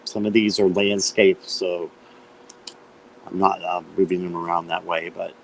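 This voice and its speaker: Male tarot host, lowish voice, slightly hoarse, chatty and casual with fillers. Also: completely flat delivery, a little slow, a little hiss or hum, poor audio. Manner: chatty, greets viewers by name, many fillers. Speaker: tarot reader hosting a live stream. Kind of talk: casual live-stream chat.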